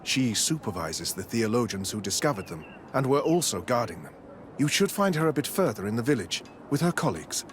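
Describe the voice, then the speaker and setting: A zealous priest played by a man speaks at a middling pitch for a game scene. He is formal and smooth-talking, preaching with condescension over faint outdoor ambience. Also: sing-song, fairly quick, a little hiss or hum, natural voice. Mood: earnest, concerned. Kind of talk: scripted video game dialogue.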